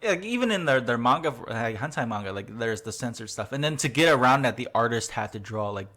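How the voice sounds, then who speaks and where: A man's voice: mid-range voice, animated, quick pace, bright, forceful tone. A man hosting a podcast in casual chat, talking loosely with lots of fillers and frequent laughs. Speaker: podcast host.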